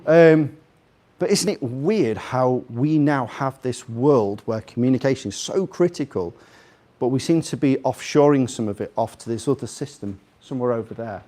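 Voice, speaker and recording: A man's voice: medium pitch, expressive, normal speed, warm tone. A man with a slight Liverpool accent giving an informal, jokey, rambling live talk, putting questions straight to his audience. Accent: slightly Scouse (Liverpool). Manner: chatty, jokey, rambling, puts questions to listeners. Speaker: guest speaker. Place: live in a room in front of an audience.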